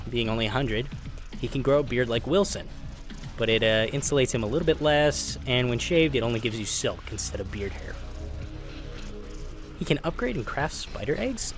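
Man with swinging pitch over music. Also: very animated, a little fast. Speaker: gaming video narrator. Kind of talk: scripted game explainer voice-over with casual asides. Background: background music.

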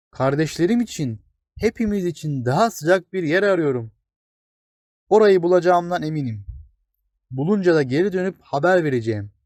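A man's voice: fairly high pitch, a little mellow, no room sound, studio quality, pitched above the performer's own voice, lightly acted. A man voicing a small, brave, hopeful blade of grass in a children's fairy tale, with an earnest, determined manner.

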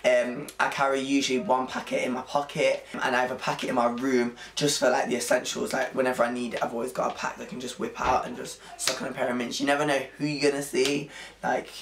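A hoarse young man from England with a Nottingham accent, chatting playfully to camera in his room. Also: mid-range voice, fast talker, echoey room, a little hiss or hum. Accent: Nottingham English. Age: young adult. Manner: chatty, animated, playful slang. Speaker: vlogger. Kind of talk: casual chat to camera. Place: bedroom at home, indoors.

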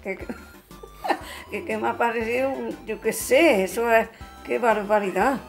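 A woman's voice: fairly high pitch, measured pace. An older woman in her seventies with an accent from Spain, reacting casually in exclamations on an outdoor patio. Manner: exclamatory, expressive. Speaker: grandmother trying virtual reality. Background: background music.

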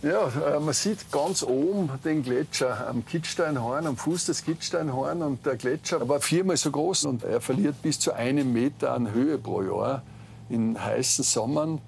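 Middle aged exec guy in a gondola, super gravelly, factual with lots of uhs. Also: medium pitch, a little slow, darkish tone, a little hiss or hum. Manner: factual, with hesitant fillers. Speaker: cable car company executive. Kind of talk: interview. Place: inside a cable car gondola.

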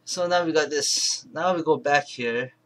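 A man presenting an informal software tutorial, thinking aloud in a halting, rambling way and correcting himself as he goes. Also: on the high side. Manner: halting, rambling, self-correcting, mumbled asides. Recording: clear background noise, keyboard and mouse clicks.

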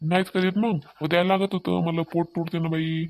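Woman playing an easygoing village husband, lower voice. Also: flat delivery, moderate pace, darkish tone, lightly acted. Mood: hungry, insistent. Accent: rural Maharashtrian. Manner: casual, colloquial, placating. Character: easygoing village husband, food-loving and reassuring. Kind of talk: amateur cartoon comedy dialogue.

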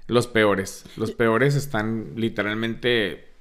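A man in his thirties from Texas, a guest on a casual podcast, relaxed and hesitant, speaking into a studio mic. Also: lowish voice, slow. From El Paso, Texas. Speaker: guest, actor and singer. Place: small decorated studio room. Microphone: studio mic on boom arm, headphones.

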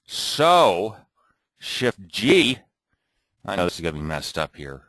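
Low-ish guy mumbling thru a software demo, talks to himself a lot, dry room. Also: very animated, slow pace, no room sound. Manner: rambling, thinking aloud, muttering to himself. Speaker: software tutorial presenter. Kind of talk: off-the-cuff screen recording tutorial.

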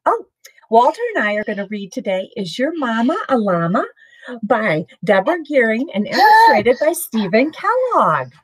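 A middle-aged woman reading a children's picture book aloud in an expressive, sing-song way for young listeners. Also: fairly high pitch, moderate pace, husky, no room sound, poor audio. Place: small home room with bookshelves, indoors.

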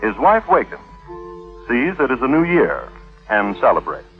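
A wry, morbid crime-story host, played by a man, narrates deliberately with deadpan wit and a lively melodic range. The sound is thin, narrow old radio with music underneath. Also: medium pitch, close to an everyday voice. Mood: deadpan, macabre. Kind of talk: 1950s radio scripted narration. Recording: tinny vintage 1950s radio broadcast.

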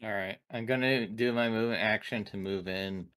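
A man with a low voice speaks as a tabletop game player. His delivery is casual and conversational. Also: fairly even intonation, normal speed, fairly bright tone, clean tone.

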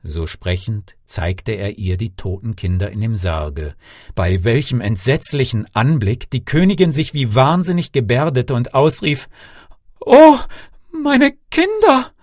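A man reading a fairy tale as an audiobook storyteller, in a theatrical, measured way. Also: lowish voice, a little mellow, dry recording, studio quality. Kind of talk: scripted fairy-tale narration.

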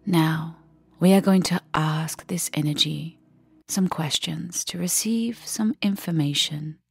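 Low, breathy woman guiding a meditation. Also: no room sound, soft background music. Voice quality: breathy, husky, often near a whisper. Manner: gentle, unhurried, with long pauses. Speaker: meditation guide. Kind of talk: guided meditation, soft and soothing delivery.

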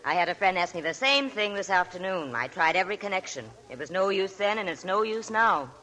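An actress playing a cool, worldly woman who runs a nightclub, brisk and dry, in a 1940s radio drama. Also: mid-range voice, lively intonation, bright, forceful tone, rough recording. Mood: businesslike. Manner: brisk, dry, matter-of-fact. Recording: 1940s radio broadcast with music behind.

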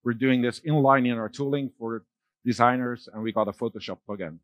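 A middle-aged man giving a conference keynote on a large dark stage, talking loosely with fillers and restarts. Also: medium pitch, brisk, dry recording, highs cut off. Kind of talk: keynote talk with slides.

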